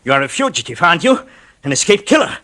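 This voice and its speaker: An actor playing a shady, suspicious junkyard fence in a 1940s radio drama, raspy and threatening. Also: male voice, high voice, very animated, quick pace, pushed and bright, exaggerated voice. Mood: menacing. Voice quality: gravelly, raspy. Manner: suspicious, menacing. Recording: vintage 1940s radio recording.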